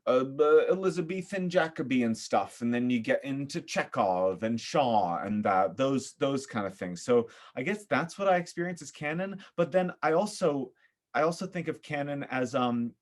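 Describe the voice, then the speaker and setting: A man's voice: medium pitch, lively intonation, moderate pace. A man speaking as a podcast guest in casual, reflective conversation, thoughtful and often groping for his words.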